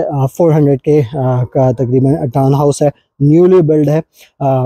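Casual vlogger man, thirties, mellow slightly breathy voice, unhurried house-tour patter. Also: fairly high pitch, low-quality recording. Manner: hesitant, many drawn-out fillers, mixes English words. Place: indoor townhouse rooms and garage.

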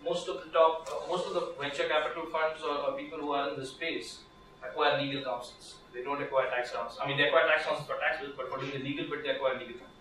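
A man with an Indian English accent runs a small legal workshop, talking quickly in a slightly high voice. He keeps restarting his sentences and reaching for fillers. He is picked up from far off in a very echoey room with steady background noise. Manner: conversational, lots of restarts and fillers, gestures while standing. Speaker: workshop speaker, lawyer. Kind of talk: informal legal workshop talk. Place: small seminar room with projector. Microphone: far camera, no mic.

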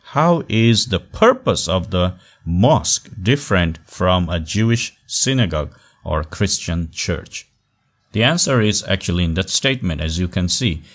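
A man with a very low voice teaches a recorded online class. He speaks in short, measured phrases and stresses key words with pauses. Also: expressive, fairly bright tone. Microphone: voice-over on slides.

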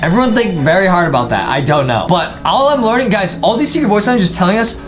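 Gaming co-host: excitable young American man, swoopy intonation, kid-friendly, game music underneath. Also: high-pitched, quick pace. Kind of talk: playful reaction commentary. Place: small indoor studio, seated beside co-host.

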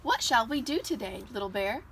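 A woman voicing a gentle, playful mother bear in a children's storybook, with a reassuring, coaxing manner. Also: on the high side, animated, normal speed, slight background noise. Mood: cheerful.

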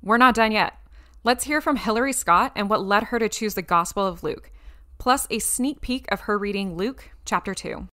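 A woman's voice: medium pitch, moderate pace, a bit bright. A woman reading scripted narration as a podcast host. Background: music.

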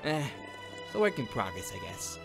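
A man voicing a brash, teasing demon gangster with a soft side, slangy and expressive, in a cartoon comic dub. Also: fairly low pitch, moderate pace, gravelly, music in the background. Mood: wry. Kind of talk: animated comic dub acting.

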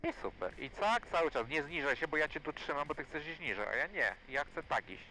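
A man working as a flight instructor, coaching calmly in an encouraging, repetitive way inside a small aircraft. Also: fairly high pitch, fairly quick, slight background noise, low-quality recording. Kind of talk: hands-on coaching during flight. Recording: music behind, aircraft cabin audio.

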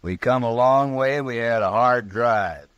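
An actor playing a threatening Texas cowboy in an old-time radio western, blunt and folksy, with an American cowboy drawl. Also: male voice, mid-range voice, unhurried, a bit bright, smooth, no echo, highs cut off, lightly acted. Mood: blunt, defiant.

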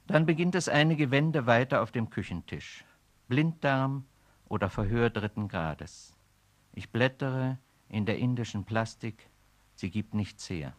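An actor speaking a weary man's poetic inner monologue in a radio play, slow and brooding. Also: medium pitch, lightly acted. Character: weary, brooding man musing on decay.

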